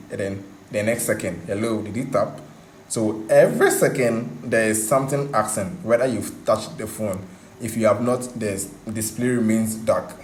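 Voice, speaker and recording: Deep-voiced man giving a casual coding tutorial. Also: a little mellow. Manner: conversational, halting, repeats himself. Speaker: host of a coding tutorial channel. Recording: roomy echo, faint background noise.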